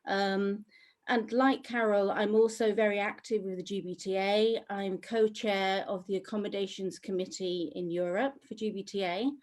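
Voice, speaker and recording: A woman's voice: mid-range voice, fairly even intonation, moderate pace, darkish tone. A woman, a sales executive, introducing herself upbeat on a webinar panel with frequent filler ums.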